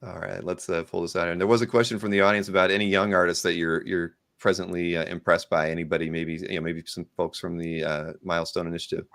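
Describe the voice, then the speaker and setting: A man from the American Midwest hosting a live art sale stream. He talks in a halting way, with many fillers and repeated words. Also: deep voice, level tone, creaky, a bit bright, no room sound, clean studio sound. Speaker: host.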